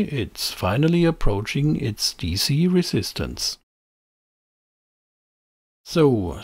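A man narrates a technical tutorial in a measured, explanatory way. His delivery is slow, with a lively, moving pitch and a noticeably husky tone. The voice-over is scripted and closely miked. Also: no echo, studio quality. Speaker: presenter of an electronics tutorial channel.

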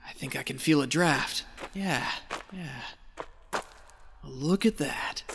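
A man's voice: on the high side, slow, creaky, background noise. A man acting a greedy, half-mad treasure hunter in an audio drama, talking to himself in folksy exclamations. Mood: wary, muttering. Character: feverish, greedy male treasure hunter descending into madness. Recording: echoey cave reverb with music behind.

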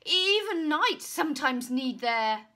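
A pompous knight from a children's storybook, acted out by a woman. He blusters and splutters with indignation. The voice is raised high and swoops about. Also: very animated, takes it slowly, pushed and bright, full character voice. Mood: eager. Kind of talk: storybook character voice.

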